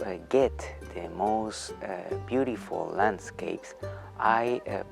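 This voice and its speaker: Slow, halting man. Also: on the low side, gravelly. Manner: halting, with frequent uh pauses. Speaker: psychology professor. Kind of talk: recorded lecture for students. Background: faint music.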